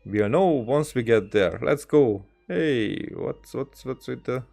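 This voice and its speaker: Halting man streaming casually over music. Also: medium pitch, lively intonation, creaky, a little mellow. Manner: halting, rambling, repeats words as he tells anecdotes. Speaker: game streamer. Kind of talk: casual livestream chat while gaming.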